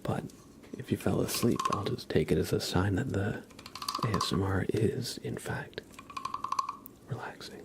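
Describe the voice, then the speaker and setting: A man hosting an ASMR livestream, chatting casually in a breathy whisper. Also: low-pitched, measured pace, long pauses. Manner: casual chat, greeting viewers by name. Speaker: livestream host.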